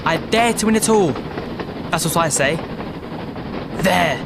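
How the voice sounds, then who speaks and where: An actor playing a proud, excitable army lieutenant in a First World War audio drama, formal and clipped. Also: male voice, sits high, measured pace, vocal fry, heavy background noise. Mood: rueful, tempted. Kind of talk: period audio drama acting. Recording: very loud battlefield and vehicle ambience.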